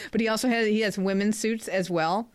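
A woman co-hosting a sports podcast in casual chat, chatty and quick to laugh. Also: medium pitch. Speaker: podcast co-host.

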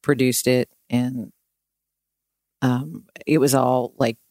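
A woman chats as a guest on a podcast. She is candid and quick to joke, in an informal conversational style. The recording is dry and close. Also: extremely low pitch, steady tone, warm tone, dry recording. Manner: candid, joking. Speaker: podcast guest, singer-songwriter. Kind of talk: casual chat.

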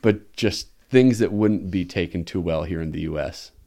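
A man in his thirties from the United States talks through his answers in a relaxed interview. His voice sits very low. He pauses and restarts as he thinks. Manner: thoughtful, hesitant, restarts, chuckles. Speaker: guest, expat math teacher. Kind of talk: casual interview chat.

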